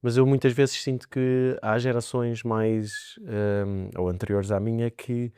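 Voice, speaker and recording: A man with a European Portuguese accent hosting a podcast, asking questions in a relaxed, hesitant way full of fillers. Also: medium pitch, unhurried, smooth, dry recording, clean studio sound. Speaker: podcast host.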